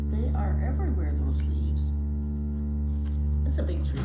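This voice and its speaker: An American woman reading a picture book aloud to young children, drawing out words expressively and adding chatty asides. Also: medium pitch. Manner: expressive, drawn-out storytelling. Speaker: children's storytime teacher. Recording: echoey room with clear background noise.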